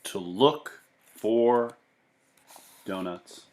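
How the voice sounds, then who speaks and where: A man reading a picture book aloud in an expressive, deliberate way, with casual asides. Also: fairly low pitch. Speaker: children's book reader.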